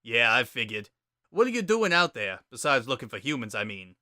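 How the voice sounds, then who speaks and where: Story reading: easygoing skeleton big brother performed by a man, offhand, dry and deadpan, bright dry sound. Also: mid-range voice, a little fast, no room sound. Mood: casual, offhand. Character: laid-back, wry skeleton older brother. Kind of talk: dramatic reading of a fan story.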